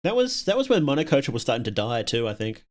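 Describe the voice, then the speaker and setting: A man's voice: mid-range voice, very animated, quick pace. Rambling, opinionated man on a livestream. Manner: rambling, opinionated, thinking aloud. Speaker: livestream host. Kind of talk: casual livestream chat with viewers.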